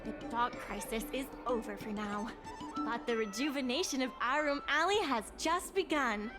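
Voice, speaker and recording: A woman's voice: a little high, normal speed, natural voice. An actress playing an upbeat, businesslike young woman who manages a dock in a video game, earnest and organised. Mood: upbeat, determined. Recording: background music under the voice.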